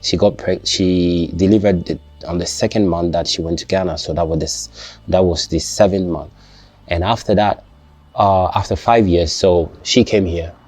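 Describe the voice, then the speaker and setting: A young man, not a native English speaker, talking casually to his viewers, halting and restarting often and checking "you understand?". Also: deep voice, fairly even intonation, a little mellow. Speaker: vlogger. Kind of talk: casual personal talk to camera.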